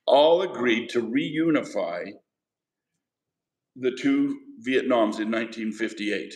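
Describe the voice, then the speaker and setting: A man teaching history to a class, talking in an easy, conversational way and stopping to quiz his students. His voice sits at a middle pitch. The classroom gives it a clear echo. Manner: conversational, asks the class questions. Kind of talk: classroom lecture. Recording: echoey classroom.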